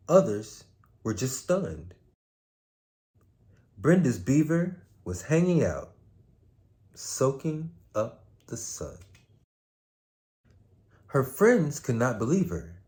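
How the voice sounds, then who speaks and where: A man hosting a story time, with a laid-back, slangy manner, moving between casual chat and expressive read-aloud. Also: medium pitch. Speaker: host reading a story aloud.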